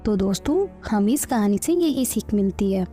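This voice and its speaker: A woman's voice: mid-range voice, lively intonation, moderate pace, warm tone. A woman storyteller reading scripted narration for a children's story. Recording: music under the voice.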